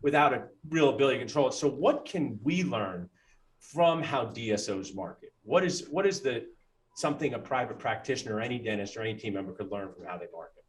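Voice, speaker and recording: Animated man hosting a chat. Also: mid-range voice, brisk. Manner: animated, interjecting, rhetorical. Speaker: dentist and podcast host. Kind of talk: casual interview chat.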